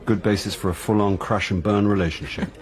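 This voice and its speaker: An actor playing a wry, boozy middle-aged English amateur detective in a radio drama, with a dry, droll, wordy delivery. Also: male voice, on the low side, fairly even intonation, fairly quick, crowd noise, lightly acted. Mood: matter-of-fact. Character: wry, boozy, self-deprecating actor turned amateur sleuth.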